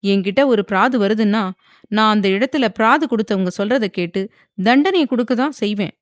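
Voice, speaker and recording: A woman's voice: fairly high pitch, quick pace, dry recording, clean studio sound, pitched above the performer's own voice, natural voice. A woman doing a stern young village headman. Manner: firm, lecturing.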